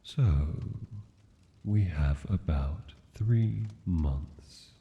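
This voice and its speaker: A man's voice: sits low, lively intonation, drawn-out words, big-room sound, lo-fi sound, full character voice. A man playing a mad dragon king in an intimate fantasy roleplay, tender and possessive, speaking in formal, courtly endearments. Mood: tender, soothing.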